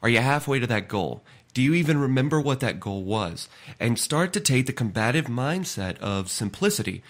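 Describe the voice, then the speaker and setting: A podcast host with a stuffy, nasal voice from a head cold, giving an earnest, conversational solo talk. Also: male voice, mid-range voice, expressive, moderate pace. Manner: earnest, conversational, repeats words.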